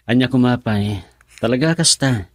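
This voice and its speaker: An affectionate young college boyfriend played by a man in an Ilocano radio drama. He sounds husky and earnest, recorded close with very little room sound. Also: mid-range voice, moderate pace, no echo, close to an everyday voice. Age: young adult.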